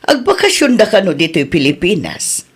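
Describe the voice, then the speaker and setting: An actress playing a middle-aged village aunt in an Ilocano radio comedy-drama, chatty and expressive, with a Filipino accent. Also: female voice, on the low side, normal speed.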